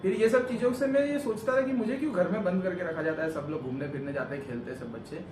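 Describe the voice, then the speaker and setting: A young man talks through his own story in a loose, rambling, earnest way, often circling back to restate a point. His voice sits a little high. He sounds set back from the mic in an echoey room, with steady noise behind him. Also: fast talker, far from the mic. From Gujarat, India. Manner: rambling, earnest, self-correcting. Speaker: vlogger answering questions. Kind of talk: casual interview chat.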